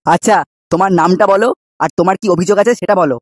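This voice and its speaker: Desk policeman for a cartoon, male actor: high-pitched, quick, businesslike, very dry sound. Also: dry recording. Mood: businesslike, cautioning. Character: matter-of-fact cartoon police officer warning about a local don. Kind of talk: comedy cartoon dubbing.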